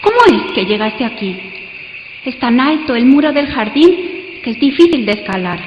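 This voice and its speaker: A woman's voice: on the high side, animated, moderate pace, lightly acted. A woman playing a lovestruck young noblewoman, coy and passionate, in a theatrical, ardent classical radio play. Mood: startled, alarmed. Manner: theatrical, ardent, swinging between bold and hushed. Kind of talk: live radio play, classical romantic drama. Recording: muffled, noisy, echoey hall with crickets. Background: cricket sound effects.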